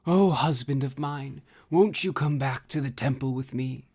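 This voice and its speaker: A man voicing a love-struck, tearful young goddess in a high, whiny falsetto. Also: measured pace, a little mellow, breathy edge. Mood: weepy pleading.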